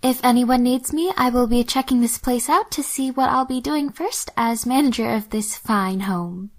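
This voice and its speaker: A woman playing a polite, gentle young building manager in an amateur audio drama, formal and composed. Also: on the high side, normal speed, lightly acted.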